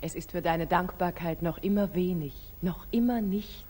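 An actress playing a sultan's sister who gently urges, in expressive 1940s German radio stage acting. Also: female voice, on the low side, moderate pace, lightly acted. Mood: gentle urging. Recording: old 1940s radio drama recording.

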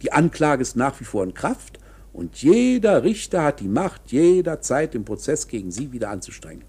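Radio play role: sly court painter, theatrical explainer, very animated intonation, slightly rough husky tone. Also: male voice, mid-range voice. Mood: ominous explaining. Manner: deliberate, dramatic, shifting between drawn-out and brisk phrases. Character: insinuating court painter, smoothly explaining hopeless legal procedures. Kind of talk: theatrical German radio drama.

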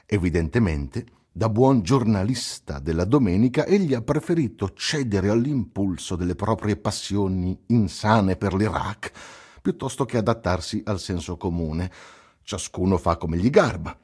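Casting: male actor as scornful newspaper columnist, radio drama. Lowish, rough-edged, very animated delivery, biting sarcasm. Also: moderate pace. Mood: mocking, dry.

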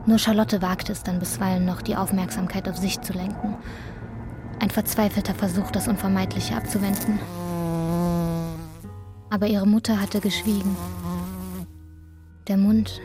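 Measured female drama narrator, faint music behind. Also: medium pitch, a little mellow. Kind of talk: literary scripted narration in a radio drama.

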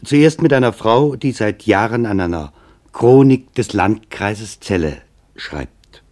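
A man playing a German reporter, narrating a radio documentary drama in a measured, grave and deliberate way. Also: on the low side, expressive, a little mellow. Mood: sober. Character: male German reporter recounting his search for witnesses, sober.

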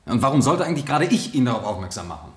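An actor playing a terse, stubborn police lieutenant testifying in a radio drama, with clipped, guarded answers. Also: male voice, medium pitch, very animated, very fast, lightly acted. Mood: defensive. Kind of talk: 1970 German radio drama acting. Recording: roomy vintage radio studio sound.

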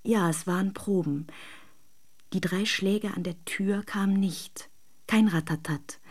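A woman's voice: medium pitch, a little slow. A woman acting an intimate radio drama monologue as a dreamy, self-deluding wife who longs for her lover, confiding in careful words. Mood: wistful, composed.

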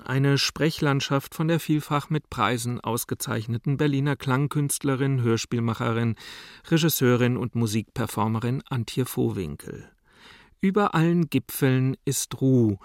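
Creaky-voiced man hosting radio. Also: medium pitch, dry recording, studio quality. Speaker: radio host and interviewer. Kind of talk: scripted radio introduction and interview questions.

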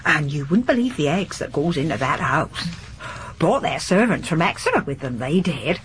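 Lively, gossipy village landlady. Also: low voice, moderate pace, slight background noise. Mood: gossiping, knowing. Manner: chatty, gossipy, folksy. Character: gossipy, garrulous older village landlady and charwoman. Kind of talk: broadcast radio drama acting.

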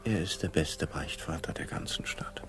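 An actor playing a weary, officious and bribable patent office director in a satirical radio play, with a pompous bureaucratic manner. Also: male voice, very deep voice, normal speed, fairly bright tone, whispered, faint music behind. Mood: officious, obliging.

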